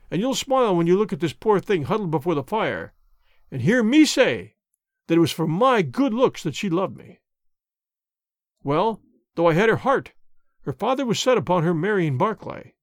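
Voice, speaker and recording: Man doing a bitter crippled ex-soldier, raised pitch, impassioned, lively swings, dry close sound. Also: dry recording. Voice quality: vehement, impassioned delivery. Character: bitter, twisted former soldier, middle-aged man. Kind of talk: audiobook character voicing.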